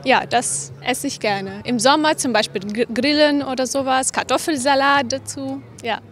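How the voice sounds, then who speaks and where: A woman's voice: high voice, moderate pace, fairly bright tone. A woman answering casually in a street interview. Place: outdoors. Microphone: interviewer's handheld microphone.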